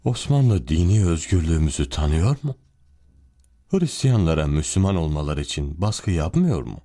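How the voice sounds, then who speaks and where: Grave, wise cartoon priest: extremely deep voice, solemn delivery with wide intonation. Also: male voice, expressive, normal speed, dark tone. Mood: calm, curious. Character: grave, wise Orthodox priest, courteous and thoughtful. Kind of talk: cartoon voice acting.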